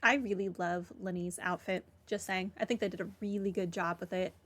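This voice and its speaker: A woman's voice: on the low side, fairly even intonation, fairly quick, a bit bright. A woman giving casual commentary as she plays through a video game. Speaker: game streamer.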